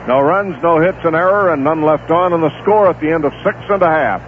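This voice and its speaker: A man calling a baseball game over a tinny 1970s AM radio broadcast, his voice sitting a little high. He keeps the play-by-play steady and unhurried and fills the pauses with player stats, with a faint stadium hum behind him. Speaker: play-by-play announcer. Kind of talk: live baseball radio commentary.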